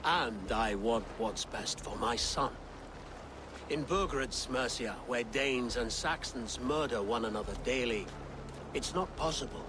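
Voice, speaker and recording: Guy playing an earnest saxon noble in a game, measured, principled, mid-pitched, noise behind him. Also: natural voice. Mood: earnest insistence. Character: earnest, diplomatic Saxon thane, would-be king. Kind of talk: video game cutscene acting.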